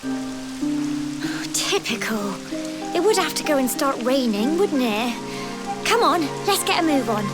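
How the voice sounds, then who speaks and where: A plucky young village girl from a fantasy video game. Her voice is high and swoops expressively across a wide range. She speaks earnestly and kindly at an unhurried pace, with music playing behind her. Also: expressive. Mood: mildly put out.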